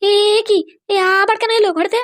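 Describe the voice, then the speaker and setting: A woman's voice: extremely high pitch, flat delivery, brisk. A woman voicing an indignant, exasperated young wife in a comic cartoon, complaining shrilly.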